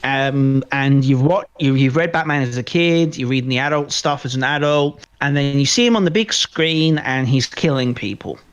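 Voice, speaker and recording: A man's voice: mid-range voice, normal speed, few pauses, clean tone. A man co-hosting a podcast, giving opinionated, emphatic film commentary in casual chat, often repeating phrases for stress. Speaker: podcast co-host. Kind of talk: casual film review discussion.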